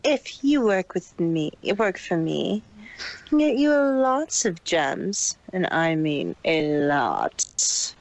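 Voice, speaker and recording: Man voicing a cold, slow-talking villainous mare. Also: high voice, sing-song, vocal fry, voice pushed up, exaggerated voice. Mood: smug, scheming. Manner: condescending, unhurried, detached. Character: cold, detached, scheming female unicorn pony villain.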